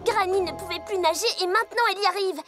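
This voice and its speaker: A woman's voice: very high-pitched, fast. A woman voicing a young, wide-eyed, inquisitive farm pony filly in a French-dubbed children's cartoon. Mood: curious. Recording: loud music under the voice.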